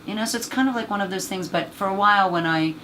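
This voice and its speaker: A woman with a husky, low voice, chatting as an interview guest about her acting career, rambling and filling gaps with "you know.". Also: quick pace, bright, forceful tone, a little hiss or hum. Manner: rambling, many fillers. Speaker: actress and voice actor guest. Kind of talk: casual interview chat.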